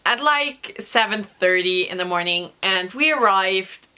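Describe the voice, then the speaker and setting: A young woman vlogger with a hoarse voice from a recent cough, chatting through an excited story with many fillers. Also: medium pitch, unhurried. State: hoarse, recovering from lost voice. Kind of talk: casual storytelling vlog.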